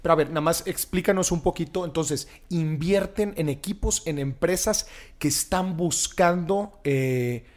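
A woman hosting an interview, lively and quick to affirm her guest. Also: on the low side. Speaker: interview host. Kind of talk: conversational interview.

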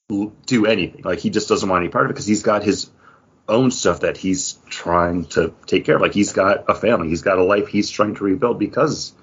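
A man's voice: deep voice, husky. A man co-hosting a podcast, chatting casually about film and TV over an internet voice call. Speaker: podcast co-host. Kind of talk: casual movie and TV review chat. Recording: muffled, dull voice-call audio.

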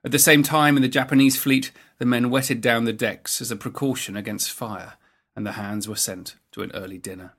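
Man narrating history in measured tones. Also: medium pitch, steady tone, fairly bright tone. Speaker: narrator of a naval history programme. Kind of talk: scripted documentary narration.

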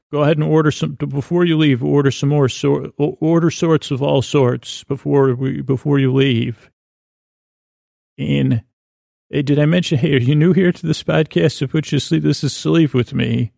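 A man's voice: mid-range voice, creaky, a little mellow, no room sound, studio quality. A man hosting a podcast with a meandering, silly bedtime monologue, mumbling, stammering and repeating words as he goes. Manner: silly, self-deprecating. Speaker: podcast host. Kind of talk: rambling, whimsical bedtime monologue.

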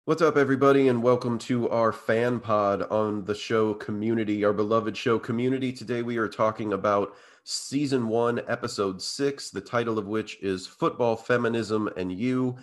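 A man co-hosting a podcast in a casual chat, slightly hoarse and blocked up, rambling with lots of fillers and restarts. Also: lowish voice, fairly even intonation, moderate pace, few pauses. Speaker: podcast co-host.

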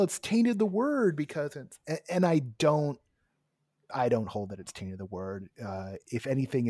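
A man hosting a podcast, hoarse and tired, talking in a rambling way with many restarts and asides. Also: mid-range voice, warm tone, no echo, studio quality. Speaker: podcast host. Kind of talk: casual chat and solo monologue.

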